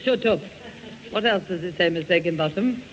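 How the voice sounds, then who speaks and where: A woman's voice: on the low side, fairly quick, lightly acted. A woman playing a stern, exasperated mother, scolding in a radio sitcom. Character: scolding, exasperated mother, housewife keeping order. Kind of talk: 1970 radio sitcom acting. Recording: muffled reverberant vintage radio, faint noise.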